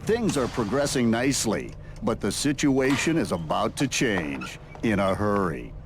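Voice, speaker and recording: An actor narrating a motorcycle reality show game in a dramatic, scripted style. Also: male voice, on the low side, very animated, a little slow, noisy background.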